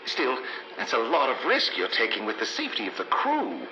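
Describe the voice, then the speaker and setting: An actor playing a cautious, conscientious American plant underling in an old-time radio drama, earnest and deferential. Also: male voice, medium pitch, huge pitch swings, brisk. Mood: worried, respectful. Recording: echoey lo-fi radio drama, faint noise.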